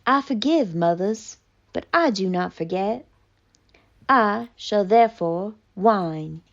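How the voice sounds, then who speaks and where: A woman voicing a haughty, melodramatic, traumatized female cat in a pompous, mock-tragic theatrical monologue with deliberate pauses. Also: mid-range voice, animated, lo-fi sound. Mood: haughty, resolute.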